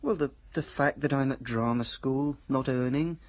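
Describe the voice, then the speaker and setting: An actor playing a sensitive, anxious young drama student with a British accent in a radio drama, gentle and questioning. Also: male voice, mid-range voice, normal speed, no room sound, natural voice. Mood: probing, self-doubting. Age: young adult.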